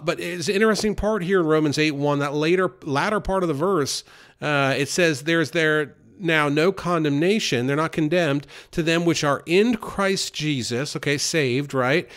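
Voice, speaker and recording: An earnest man delivers a loose, unscripted sermon. His voice sits a little high for a man, and he often repeats words and restarts his sentences. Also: a bit bright. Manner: earnest, repeats himself with many fillers and restarts. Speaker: preacher. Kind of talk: informal sermon.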